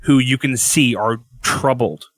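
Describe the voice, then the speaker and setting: A man's voice: mid-range voice, a little slow, fairly bright tone, dry recording, clean studio sound. A man chatting casually as he brainstorms on a podcast, rambling and swearing freely. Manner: rambling, profane. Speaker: podcast guest.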